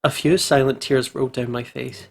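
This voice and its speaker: A man doing let's play commentary. He reads a visual novel's narration aloud and chats casually, in an easily amused manner, with a voice of medium pitch. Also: fairly even intonation, normal speed, vocal fry. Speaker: gaming video host.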